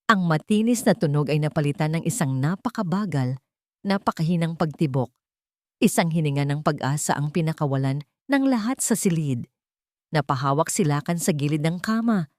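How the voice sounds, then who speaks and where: A woman's voice: sits low, expressive, normal speed, darkish tone, no echo, clean studio sound. A woman narrating an audio drama in a scripted, emotional and emphatic storytelling style.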